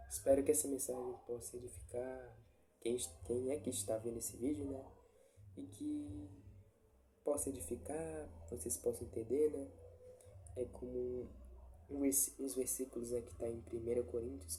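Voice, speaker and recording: Man, stuffy head cold, very dark mellow tone; casual, halting devotional talk; faint noise behind. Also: mid-range voice. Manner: halting, many restarts and tag questions. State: congested with a cold. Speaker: lay preacher sharing a Bible reflection.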